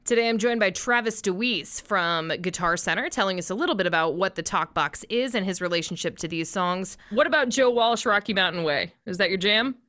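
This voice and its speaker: Female music radio host, very bright forward tone, chatty and enthusiastic. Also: medium pitch, brisk, hardly any pauses. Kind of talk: music radio presenting and interviewing.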